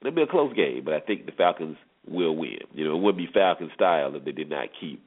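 A man's voice: rumbling low, sing-song, a little fast. A man hosting a solo sports talk show, giving conversational commentary with rhetorical questions and repeated points for emphasis. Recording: tinny phone-line internet radio audio.

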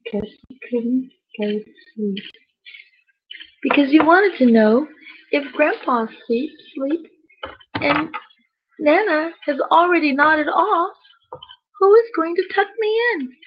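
Lady storyteller for kids, really high voice, expressive, kinda muffled audio. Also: slow, pauses often. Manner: addresses children directly, expressive. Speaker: children's storyteller, host. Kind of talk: bedtime storytelling for children. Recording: dull lo-fi sound with music.

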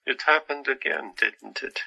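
An actor playing a gentle, tormented young man in an asylum, pleading and emotional, in an audio drama. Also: medium pitch, a little slow, gravelly, husky, exaggerated voice, poor audio. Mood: worried. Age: young adult. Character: gentle, tormented young male asylum patient, anxious friend.

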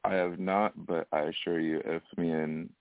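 A young man from Alaska, a wrestling guest, answering questions in a soft-spoken, hesitant way with easy laughs. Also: low voice, level tone, moderate pace, no echo. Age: young adult. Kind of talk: casual interview over phone. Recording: tinny phone line.

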